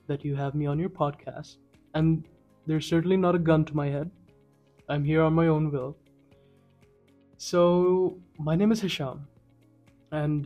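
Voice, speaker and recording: A man's voice: fairly high pitch, mellow, highs cut off. A young adult man from Kuwait, a podcast guest who talks in a playful, joking way. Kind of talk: casual podcast chat.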